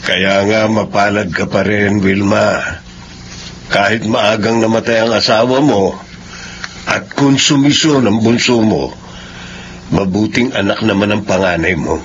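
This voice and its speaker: A man's voice: fairly low pitch, measured pace, close to an everyday voice. A man playing a concerned, sympathetic middle-aged elder brother in a Filipino radio drama. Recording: dull radio sound, faint noise.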